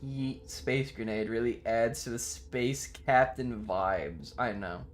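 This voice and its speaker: A man's voice: medium pitch, slow. A man giving casual live game commentary and chatting with viewers in a playful, dramatic, sweary way. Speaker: livestreamer. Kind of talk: casual game stream commentary, reacting to chat.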